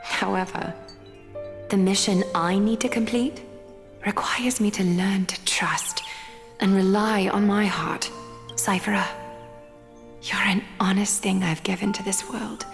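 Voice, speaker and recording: A woman voicing a serene, caring noblewoman seamstress and demigod in a video game, speaking in a measured, tender, formal manner. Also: medium pitch, steady tone, gravelly. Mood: earnest, tender. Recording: long hall reverb over music.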